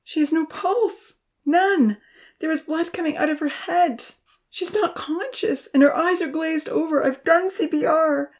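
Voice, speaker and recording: A woman's voice: very high voice, a little slow, pitched above the performer's own voice. A woman voicing a frantic uncle who stammers through panic and tears, breathless and pleading. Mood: panicked.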